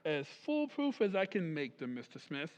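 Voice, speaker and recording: An actor playing a smooth-talking maker of lifelike marionettes in an old-time radio drama recreation, with assured salesman's patter. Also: on the high side, very animated, normal speed. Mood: suave. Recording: long reverb on stage.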